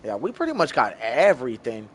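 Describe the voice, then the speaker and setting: A man's voice: mid-range voice, huge pitch swings, brisk, slightly rough. A man in his thirties streaming a game, chatting loosely with viewers, calling them bro and swearing freely. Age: 30s. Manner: loose and profane, talks to chat as bro. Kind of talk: casual live-stream chat.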